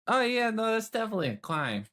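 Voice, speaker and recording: A woman improvising casually as a tabletop roleplaying player, insistent and repetitive, with halting phrases. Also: a little high, very animated, fairly bright tone. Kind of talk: casual in-character improvised chat.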